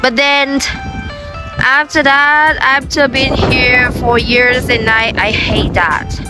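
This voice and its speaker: A young woman with a Vietnamese accent chats slowly to her vlog viewers, her voice a touch high. She pauses and restarts often and fills gaps with "uh" and "um". Music plays clearly behind her. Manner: soft, unhurried, with frequent fillers and restarts. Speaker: vlogger. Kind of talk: casual vlog narration.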